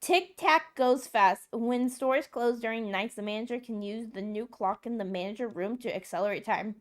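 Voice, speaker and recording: Chatty woman streamer, fairly high voice. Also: moderate pace. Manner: chatty, reads game text aloud, laughs often. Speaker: streamer host. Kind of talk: casual gaming chat.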